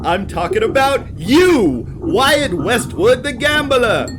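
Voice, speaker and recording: A man playing a slick, taunting villainous salesman in an audio drama, with theatrical, drawn-out delivery. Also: very high voice, big pitch swings, background noise, exaggerated voice. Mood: smug, taunting. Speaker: actor. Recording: loud music over the voice. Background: loud music.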